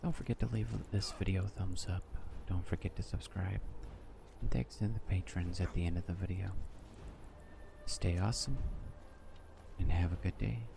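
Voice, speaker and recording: A man giving soft-spoken ASMR gameplay commentary as a video host, hushed and often close to whispering. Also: low-pitched, steady tone, moderate pace, creaky, music in the background. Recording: loud game ambience behind voice. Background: video game ambience.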